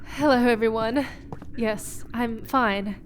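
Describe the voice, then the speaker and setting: A woman playing an earnest, shaken space explorer in a sci-fi horror audio drama, halting and emotional. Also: fairly high pitch, fairly even intonation, slight background noise. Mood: subdued.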